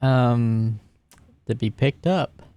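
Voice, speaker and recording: A man's voice: mid-range voice, very slow, warm tone, clear, resonant tone. A man voicing a casual, cheeky woman in a tabletop game, breezy and coy with some hesitation. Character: Jules, casual cheeky woman who smokes.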